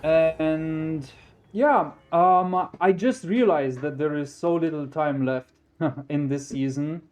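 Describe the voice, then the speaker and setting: A man's voice: on the high side, darkish tone, highs cut off. Reflective young man. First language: Romanian. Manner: reflective, thinking aloud. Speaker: gaming YouTuber. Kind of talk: casual commentary over a game replay.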